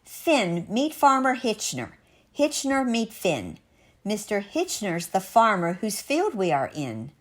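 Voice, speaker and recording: A woman's voice: mid-range voice, wildly swooping pitch, slow, full character voice. A woman voicing a jolly, bustling old man who flies a balloon, with an exuberant, declamatory manner in rhyme, as in a storybook. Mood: proud, boastful.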